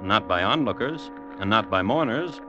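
An actor narrating a radio drama as a grave storyteller, measured, solemn and dramatic. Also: male voice, fairly low pitch, expressive, lo-fi sound, natural voice. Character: grave storyteller narrating a macabre family tale. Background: music.

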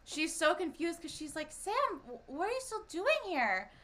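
Young female co-host, bright and crisp tone, teasing and animated delivery. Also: high voice, moderate pace. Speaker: podcast co-host. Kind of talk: casual podcast chat.

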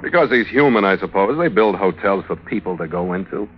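An actor playing a tough, wisecracking sea captain in an old radio drama, with an American accent and terse, hard-boiled delivery. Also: male voice, low-pitched, lively intonation, fairly quick. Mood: sardonic, defiant. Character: tough, sardonic male sea captain, narrating his adventure. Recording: dull vintage radio recording, music behind.

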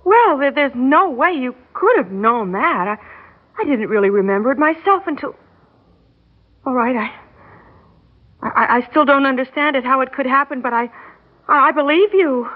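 An actress playing a skeptical young secretary in a radio drama, hesitant and stammering, on a muffled old recording. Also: female voice, sits high, lively intonation, normal speed, pauses often. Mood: astonished, wavering. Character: skeptical, flustered young woman secretary phoning the future. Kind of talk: classic radio drama acting.

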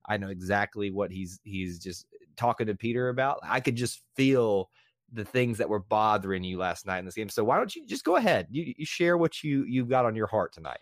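A man's voice: fairly low pitch, animated, brisk, no echo, clean studio sound. A man hosting a casual sports podcast, talkative and easygoing, with fillers and repeated words. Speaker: podcast host.

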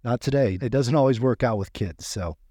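A man's voice: mid-range voice, fairly quick, mellow, no echo. Dry-recorded man chatting as podcast co-host. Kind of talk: casual podcast chat.

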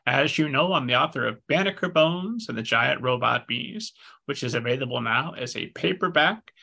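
A man's voice: mid-range voice, animated, normal speed, gravelly, bright, forceful tone, slightly rough. A man hosting a podcast interview, playful and mock-formal as he talks to his audience. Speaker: podcast host.

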